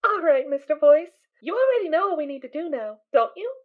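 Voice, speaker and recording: A woman's voice: high-pitched, very animated, a little fast, phone-quality sound. A woman voicing a small, naive, cheerful filly pony in a fan audio drama, with a squealing, childlike manner. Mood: eager.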